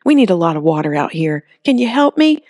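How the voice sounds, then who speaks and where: A woman's voice: on the low side, sing-song, fairly quick, darkish tone, dry recording, studio quality. A woman voicing an anxious, indoorsy young man who pleads in a strained, rising voice. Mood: pleading.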